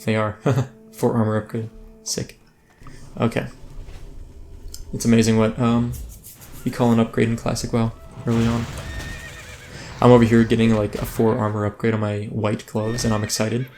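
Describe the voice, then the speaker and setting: A man's voice: mid-range voice, monotone, moderate pace, long pauses, warm tone, slight background noise. A man hosting a let's play, giving casual, rambling gameplay commentary that keeps breaking off mid-thought. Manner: rambling, self-interrupting, chatty.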